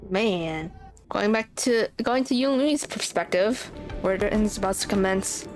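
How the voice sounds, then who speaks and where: A woman's voice: medium pitch, unhurried. A woman streaming a game playthrough with casual, laid-back commentary, sometimes reading choices aloud. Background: game music.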